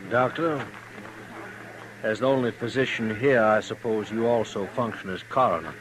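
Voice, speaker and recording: An actor playing a brisk, officious lawman from back East in a 1950s radio western, speaking formally and with self-assurance. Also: lowish voice, a crowd in the background, natural voice, low-quality recording. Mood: dry, formal. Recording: hissy 1950s radio broadcast recording.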